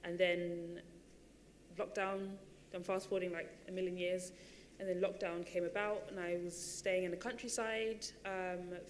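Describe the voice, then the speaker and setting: A woman's voice: on the low side, level tone, a little slow, big-room sound, a little hiss or hum. A woman and running coach telling her story at a panel talk, chatty and full of fillers and restarts. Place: large theatre stage.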